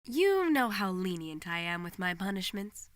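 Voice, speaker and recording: A woman voicing a regal, composed pony princess who speaks formally and with measured authority in a fan fiction reading. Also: mid-range voice, big pitch swings, no room sound, natural voice. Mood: stern, formal.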